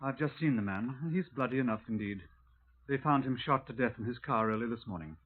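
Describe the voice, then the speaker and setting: An actor playing an affable, earnest Welsh detective sergeant in a 1950s radio crime drama. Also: male voice, mid-range voice, lively intonation, a little fast, close to an everyday voice. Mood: matter-of-fact relish. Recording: tinny old radio broadcast recording.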